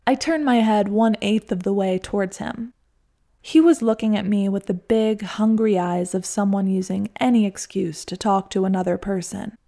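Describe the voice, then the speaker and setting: Gravelly-voiced woman narrator. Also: medium pitch, steady tone, moderate pace, dark tone. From United States. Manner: wry, reflective, self-deprecating. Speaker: podcast narrator. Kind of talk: scripted personal-story narration.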